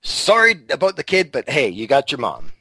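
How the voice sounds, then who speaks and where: Man, podcast co-host, blunt, provocative, swears a lot, mid pitch, dry sound. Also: wildly swooping pitch, moderate pace, bright, forceful tone, husky, dry recording. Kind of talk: casual opinionated chat.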